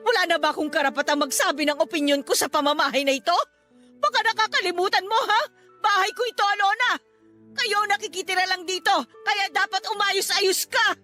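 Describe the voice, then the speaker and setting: Overbearing Filipino mother-in-law, older woman, shrill very high voice, forceful edge, haughty, taunting, radio drama. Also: a little fast, faint music behind. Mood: haughty scolding.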